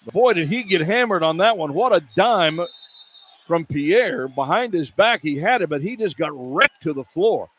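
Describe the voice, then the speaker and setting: Male sports announcer, fairly high voice, live radio basketball commentary, clipped phrasing, emphatic on big plays, arena noise behind. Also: animated, normal speed, a bit bright. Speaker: play-by-play announcer. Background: arena ambience.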